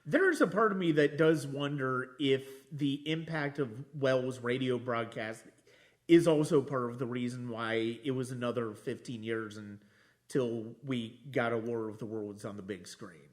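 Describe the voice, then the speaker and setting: A man hosting a casual film discussion, halting as he thinks aloud and often restarting his phrases. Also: medium pitch. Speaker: podcast host discussing films.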